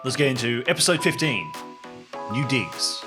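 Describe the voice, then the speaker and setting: A man chatting and improvising narration as a game master, in a halting, descriptive way. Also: medium pitch, big pitch swings. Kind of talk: improvised narration and casual chat among friends. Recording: music behind the voice.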